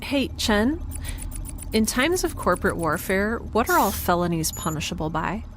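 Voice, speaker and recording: A woman's voice: mid-range voice, big pitch swings, moderate pace, slight background noise, natural voice. A woman playing a quirky, self-doubting young scientist in a sci-fi audio drama, chatty and wry with hesitant, natural delivery. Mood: concerned.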